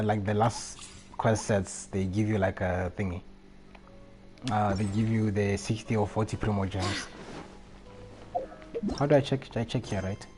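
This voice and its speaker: Mellow, chatty male game streamer. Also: on the low side. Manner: chatty, thinks aloud, reacts to the story. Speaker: game livestreamer. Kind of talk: casual live game commentary. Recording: faint noise and game ambience behind. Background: video game audio.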